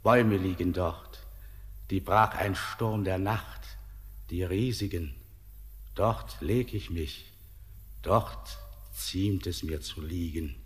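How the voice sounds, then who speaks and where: An actor playing a weary, ominous ancient ghostly man in a poetic radio drama, speaking in solemn, declamatory, drawn-out phrases. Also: deep voice. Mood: solemn, resigned. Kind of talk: classic German radio drama, poetic stage diction. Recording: long hall-like reverb.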